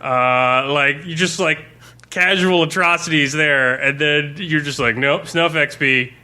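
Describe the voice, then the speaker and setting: A man's voice: a little high, unhurried, pushed and bright, a little hiss or hum. A man in a casual podcast chat, telling stories as a game master in an informal, rambling way. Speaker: game master discussing a campaign he ran.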